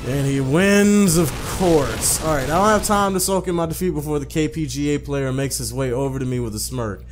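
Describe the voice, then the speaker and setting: A man's voice: fairly high pitch, a little fast, music in the background. A man doing a casual let's play, swearing and repeating himself in slang as he comments and reads game text aloud. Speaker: gaming video host.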